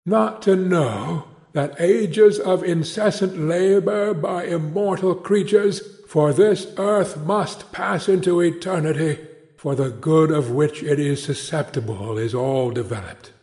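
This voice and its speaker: Give me a man doing a grieving ghost in chains for a read-aloud storybook, solemn and declaiming, lifting to a higher voice. He drags out every word slowly. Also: darkish tone. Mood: mournful warning. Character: anguished chained ghost of an old businessman.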